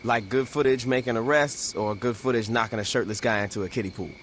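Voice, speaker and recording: An actor playing a tough, sarcastic street gang boss in a video game cutscene, blunt and distrustful in manner. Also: male voice, mid-range voice, quick pace, a bit bright, slight background noise, natural voice. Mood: dry, blunt.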